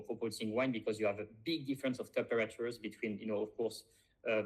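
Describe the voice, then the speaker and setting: Guy on a podcast, lowish voice, lots of uh and you know, kinda hesitant. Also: low-quality recording. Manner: hesitant, many fillers and restarts. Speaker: podcast co-host. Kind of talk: casual chat.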